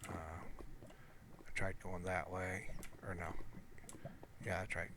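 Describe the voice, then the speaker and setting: A man's voice: low-pitched, little pitch movement, slow pace, background noise. A man giving casual, rambling gameplay commentary in a hesitant, meandering way, often correcting himself. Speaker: gamer narrating own gameplay.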